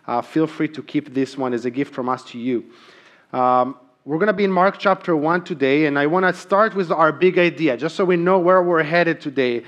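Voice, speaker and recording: Earnest, slightly high-voiced male pastor. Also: highs cut off. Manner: earnest, with frequent ums and emphatic repetition. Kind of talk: sermon. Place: church sanctuary.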